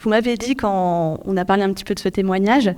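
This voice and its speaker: A woman interviewing a guest at a public event, asking formal, measured questions. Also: medium pitch, darkish tone, smooth.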